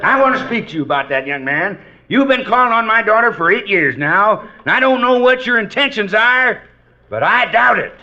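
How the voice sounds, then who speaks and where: A crotchety old father from a radio comedy, blustering and suspicious. His voice is high and piercing. The aged recording is dull, with some noise. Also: very animated, normal speed. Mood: suspicious, grumpy. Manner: blustery, interrogating. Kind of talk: 1950s radio comedy sketch acting.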